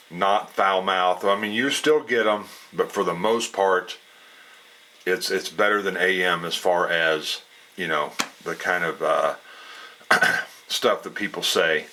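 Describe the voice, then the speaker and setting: Male hobbyist presenter: low, forceful voice, plainspoken and folksy, explaining off the cuff. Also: fairly even intonation, measured pace, rough recording. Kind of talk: casual unscripted explainer.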